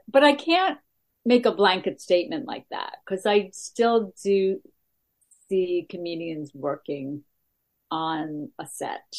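A middle-aged woman stand-up comedian with a gravelly voice, talking in a halting, casual interview with many fillers. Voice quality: gravelly, deeper voice.

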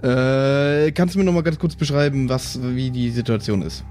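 A man's voice: mid-range voice, normal speed, darkish tone. A man playing a tabletop role-playing game, talking casually and jokingly. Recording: music clearly behind the voice. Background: background music.

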